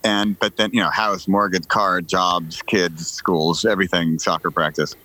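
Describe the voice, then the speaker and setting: A man's voice: deep voice, steady tone, unhurried, bright, forceful tone, poor audio. A middle-aged man, telling casual stories as a podcast guest in a joking, conversational way. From United States.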